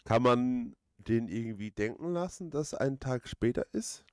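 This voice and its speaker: A man's voice: medium pitch, expressive, measured pace, darkish tone. A man giving casual let's play commentary, rambling and hesitant as he thinks aloud. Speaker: let's player, host.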